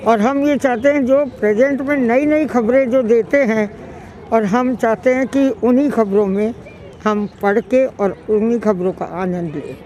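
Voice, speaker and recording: A woman's voice: a little high, unhurried, mellow. A middle-aged woman answering street interview questions outdoors in a park, speaking fondly and emphatically and repeating herself. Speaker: longtime newspaper reader interviewee.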